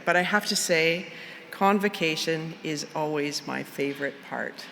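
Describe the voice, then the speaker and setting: A middle-aged woman, a university chancellor giving a measured formal address at an outdoor convocation through a podium microphone. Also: lowish voice, a bit bright, slight background noise. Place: outdoor university plaza with a large crowd. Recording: long outdoor PA reverb, faint ambience.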